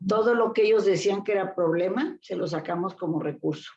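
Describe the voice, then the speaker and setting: A woman's voice: mid-range voice, fairly quick, a little mellow, dull audio. An older woman with a Mexican accent, a therapist telling stories from her career in a rambling way, full of fillers and restarts. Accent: Mexican Spanish. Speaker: guest therapist. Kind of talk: casual interview storytelling.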